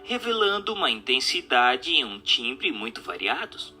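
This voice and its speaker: A Brazilian man reading a scripted audiobook narration in a deliberate, articulate way with emphatic phrasing. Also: medium pitch, sing-song, pushed and bright, husky, soft background music.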